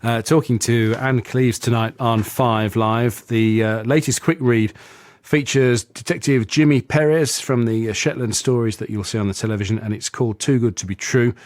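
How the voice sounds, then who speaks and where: A man's voice: medium pitch, steady tone, moderate pace, a little mellow, dry recording, clean studio sound. A man hosting a radio interview, asking friendly, rambling questions and affirming often. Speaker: radio host.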